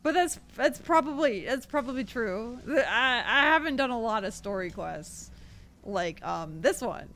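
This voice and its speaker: A woman streaming a game, chatting casually with her viewers in a rambling, expressive way. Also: fairly high pitch, a little slow.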